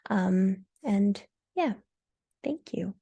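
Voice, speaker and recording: Give me a woman presenting on a video call, gravelly and halting. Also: mid-range voice, animated, warm tone. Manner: hesitant, many fillers and restarts. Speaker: fellowship presenter. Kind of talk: online presentation.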